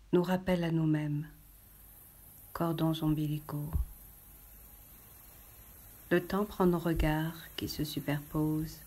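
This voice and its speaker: A woman poet reading poetry aloud in a measured, solemn literary style, with deliberate, short, separated phrases. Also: sits low, a little mellow.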